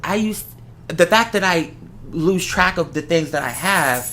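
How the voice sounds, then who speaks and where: Bright-voiced host in heartfelt casual talk. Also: mid-range voice, animated, measured pace. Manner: emphatic, repeats phrases for effect. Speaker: host of the show; artist who makes videos and music.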